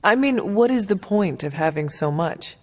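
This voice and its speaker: An actor playing a solemn, courteous warrior leader from another age in a video game, with formal, old-fashioned wording. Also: male voice, high voice, expressive, normal speed. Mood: puzzled. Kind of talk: video game cutscene acting. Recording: music playing behind.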